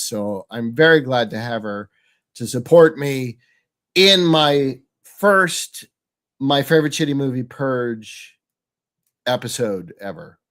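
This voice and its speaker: A man with a head cold, nasal and congested, hosting a casual show in a halting, rambling way. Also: mid-range voice, fairly bright tone. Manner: halting, searching for words. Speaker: podcast host.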